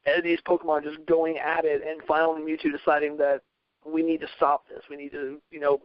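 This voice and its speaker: A man on a podcast panel in casual discussion, rambling earnestly as he thinks out loud. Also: a little high, monotone, brisk, no room sound. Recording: dull, radio-like lo-fi audio.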